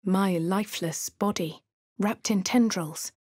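A woman with a posh English accent giving refined, measured first-person narration for a game character. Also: mid-range voice, a little mellow, no room sound. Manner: refined, measured, clipped delivery. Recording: dry studio voice-over.

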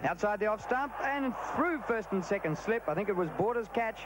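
A man calling ball-by-ball cricket commentary, lively and rising on big moments. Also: sits high, a little fast. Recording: noisy old broadcast tape.

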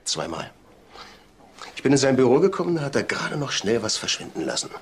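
A man's voice: medium pitch, very animated, a little fast, husky. A man playing a bitter, resentful clerk in a crime drama, sneering and sarcastic with gleeful spite. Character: bitter, resentful male clerk gloating over colleague's death. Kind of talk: German TV crime drama acting.

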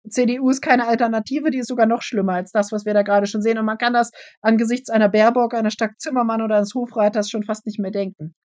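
A woman's voice: on the high side, fairly even intonation, fast talker, hardly any pauses, a little mellow, dry recording. A blunt young woman on a live political reaction stream, sounding indignant in a dry, close room. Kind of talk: casual opinionated commentary.

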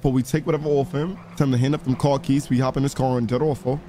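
A man talks quickly in casual, slangy in-game chatter. He tends to repeat phrases as he plays. There is faint background noise behind his voice. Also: mid-range voice, little pitch movement, warm tone. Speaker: streamer playing a crime role-play game.

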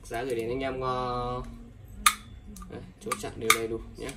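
A man selling used fishing reels in a casual, repetitive sales talk, filling pauses with ums and calling his buyers brothers. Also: medium pitch, level tone, vocal fry, very noisy. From Vietnam. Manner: repetitive, many fillers, addresses buyers as brothers. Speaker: fishing tackle seller. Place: indoors, table of fishing reels. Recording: distant mic, music playing behind.

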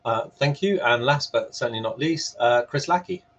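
A man's voice: medium pitch, lively intonation, moderate pace. A man moderating an unscripted panel over a video call, hesitating and repeating words as he goes. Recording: muffled, band-limited video call.

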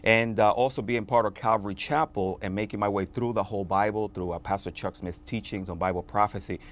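Earnest low-voiced pastor. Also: male voice. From Dominican Republic. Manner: earnest, fervent, with frequent filler "uh". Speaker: pastor, interview guest. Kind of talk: conversational testimony. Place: television studio set. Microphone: lapel mic.

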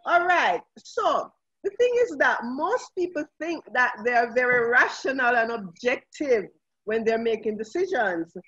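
A woman moderates a panel discussion in a high, husky voice. She sounds sharp and forceful. The audio is dull and echoes in the room. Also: animated, unhurried. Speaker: forum host and moderator. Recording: harsh, roomy, dull online call audio.

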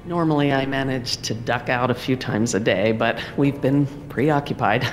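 A woman's voice: very deep voice, normal speed, a little hiss or hum. A woman playing a composed, weary female scientist in a video game, explaining things precisely. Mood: distracted, weary. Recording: long hall reverb, faint music.

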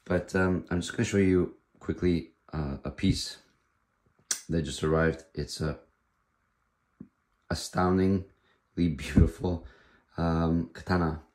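A man with a hoarse, fading voice, casually showing off a sword with frequent pauses and restarts. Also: low voice, fairly even intonation, a little mellow. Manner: halting, with many fillers and restarts. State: hoarse, losing his voice. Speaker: sword dealer presenting a katana. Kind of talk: casual show-and-tell.

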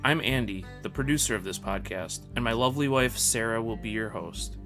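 A man's voice: medium pitch, a little fast, fairly bright tone. Man reading a podcast intro over guitar. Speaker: podcast producer and announcer. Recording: guitar music behind the voice. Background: plucked guitar music.

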